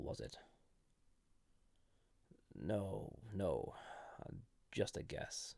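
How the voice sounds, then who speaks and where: Male actor as banished ancient entity for intimate audio roleplay: very gravelly, unhurried, hesitant, flirty with a dangerous edge. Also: deep voice, no room sound, full character voice. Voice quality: gravelly, husky, breathy. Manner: hesitant, softly intimate, deliberate. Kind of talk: scripted audio roleplay addressed to listener.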